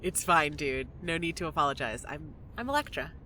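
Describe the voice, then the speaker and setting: A woman's voice: low-pitched, expressive, brisk, sharp tone, slight background noise, rough recording. A woman in an audio drama playing a blunt, wry young newcomer with casual, dry, naturalistic delivery. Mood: wry, friendly.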